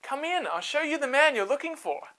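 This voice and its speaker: A woman's voice: high voice, sing-song, a little fast, a bit bright, pitched above the performer's own voice. A woman voicing a soothing, falsely welcoming tent-dwelling woman in a children's Bible story, coaxing and hospitable. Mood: coaxing welcome.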